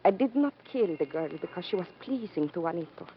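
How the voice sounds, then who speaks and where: A woman's voice: lowish voice, expressive, a little hiss or hum, close to an everyday voice. A woman with a Spanish accent playing a grieving, proud matador's widow, formal and melodramatic, in radio drama. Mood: proud, mournful. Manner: formal, dramatic, deliberate phrasing. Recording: muffled old radio recording with music.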